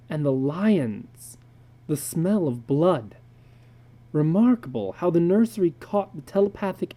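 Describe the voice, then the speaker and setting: A man giving a dramatic reading of a short story, deliberate and brooding, with pauses between phrases. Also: mid-range voice, dark tone. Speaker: narrator.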